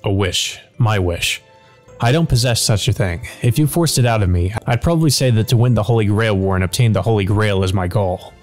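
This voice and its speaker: A man voices a guarded, determined master from a visual novel. The tone is serious and brooding, pitched fairly low, with faint music behind. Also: a little fast, darkish tone, close to an everyday voice. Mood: hesitant.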